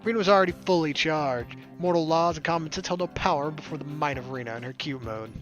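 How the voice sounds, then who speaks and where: A man with a fairly high voice reads story narration aloud, slipping into casual let's play commentary. Game music plays clearly behind him. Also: fast talker, a bit bright, muffled recording.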